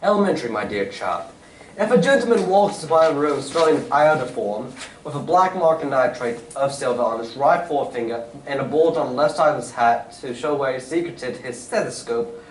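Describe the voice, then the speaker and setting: A man playing a brisk, self-assured English detective with an urbane, lecturing manner in a stage reading of a radio drama. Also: medium pitch, expressive, natural voice. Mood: genial, assured. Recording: distant roomy stage recording, faint noise.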